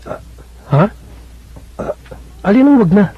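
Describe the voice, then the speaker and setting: Husky, high-voiced flustered young suitor. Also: male voice, big pitch swings, takes it slowly, exaggerated voice. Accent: Filipino. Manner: hesitant, stammering. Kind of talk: Filipino radio drama acting. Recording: dull lo-fi radio broadcast.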